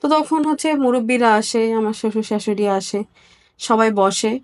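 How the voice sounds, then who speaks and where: A woman from Bangladesh giving a personal account as an interview guest, candid and somewhat halting. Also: fairly high pitch.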